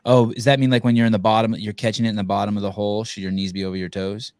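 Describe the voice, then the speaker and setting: A man's voice: fairly low pitch, flat delivery, rapid-fire, darkish tone, dry recording. A man hosting a podcast interview in casual chat, rambling with many restarts and casual swearing. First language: Armenian. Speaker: podcast host.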